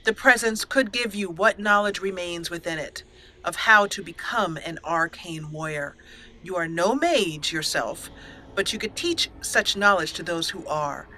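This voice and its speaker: A woman's voice: on the low side, animated, measured pace, pushed and bright, low-quality recording. A woman hosting a let's play, switching between storytelling narration and commentary on her roleplay game.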